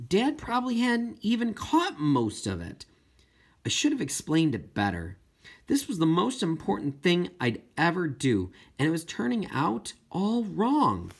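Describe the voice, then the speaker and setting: Expressive, husky male audiobook narrator. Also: sits high, a little slow. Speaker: audiobook reader. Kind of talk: expressive first-person children's novel narration.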